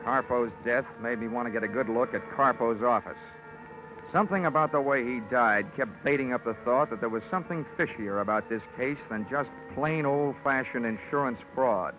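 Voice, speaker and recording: A man's voice: medium pitch, normal speed, natural voice. Wry hard-boiled investigator played by a man, tinny recording. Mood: narrating, brisk. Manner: dry, sardonic, hard-boiled. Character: wry, tough, self-assured male insurance investigator. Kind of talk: hard-boiled radio narration and dialogue. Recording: tinny old radio recording with music.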